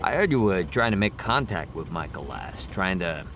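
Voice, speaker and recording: Deep, chatty cabbie over car noise. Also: male voice, big pitch swings, normal speed, a bit bright, full character voice. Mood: chatty, admiring. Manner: rambling, hesitant, colloquial. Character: chatty, folksy male cab driver, a harried dad. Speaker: actor in an audio drama. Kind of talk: naturalistic, casual dramatic chat. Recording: street and car noise behind.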